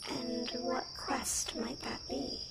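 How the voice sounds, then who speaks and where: Woman as a high, echoing tree nymph. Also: little pitch movement, slow pace, creaky, full character voice. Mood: earnest, feisty. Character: small female tree nymph, feisty, wary, loyal forest spirit. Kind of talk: ASMR fantasy roleplay. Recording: distant, echoing, noisy nature-ambience track.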